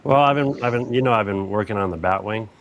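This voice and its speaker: A man's voice: lowish voice, fast, dull audio. A man chatting casually on a podcast, laid-back and laughing easily as he shows off a model he built. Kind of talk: casual chat.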